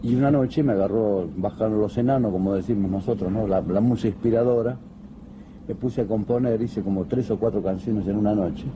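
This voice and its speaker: A man's voice: fairly low pitch, a little fast, noisy background. A man, a singer and actor telling an amused story in an interview.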